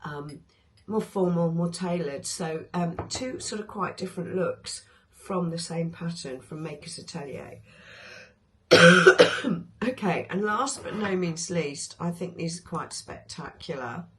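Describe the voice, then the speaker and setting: A woman with a hoarse voice, chatting casually about her favourite sewing patterns, with many ums and restarts. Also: fairly low pitch, slow, a bit bright. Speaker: sewing vlogger.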